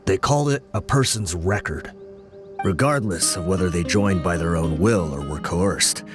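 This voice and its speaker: Brief: man playing a grim, vengeful gang lieutenant, low voice, measured telling, soft music behind. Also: close to an everyday voice. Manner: measured, explaining. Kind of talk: video game voice acting.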